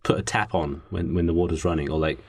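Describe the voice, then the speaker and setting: A man's voice: low-pitched, steady tone, fast talker, husky. A man chatting casually and jokily as a comedy podcast host, rambling as he makes up a story. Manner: jokey, rambling, giggly. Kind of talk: casual improvised chat while drafting a radio play.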